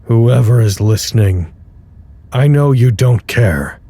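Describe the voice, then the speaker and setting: An actor in an audio drama monologue playing a grieving, weary facility staffer, subdued, halting and confessional. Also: male voice, medium pitch, animated, warm tone, slightly rough, full character voice. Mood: weary, resigned. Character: grieving, weary male facility staffer broadcasting a personal log.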